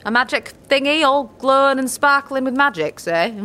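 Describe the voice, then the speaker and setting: A woman's voice: high-pitched, animated, moderate pace, bright, forceful tone. A woman voicing a squat, grey old midwife with a cackling laugh and folksy, old-fashioned speech. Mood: teasing. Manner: folksy archaic speech, spits and scolds. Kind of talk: audio drama acting.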